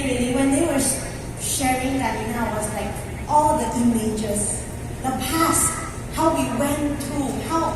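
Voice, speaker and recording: A woman from Malaysia with a Malaysian accent, giving a motivational stage talk and often checking in with her listeners with short questions. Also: a little high. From Kota Kinabalu, Sabah, Malaysia. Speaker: speaker giving a testimonial to a live audience. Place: large echoing indoor hall, live event. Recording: echoey hall from far off, loud noise.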